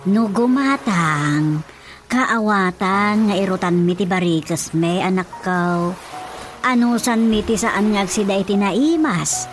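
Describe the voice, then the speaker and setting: A woman's voice: mid-range voice, slow, soft background music, natural voice. A woman playing a fretful, thrifty middle-aged mother in a radio drama, with an Ilocano accent and a plaintive, exclaiming delivery. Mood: explaining, pleading. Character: Rosa's mother, a fretful, thrifty rural wife.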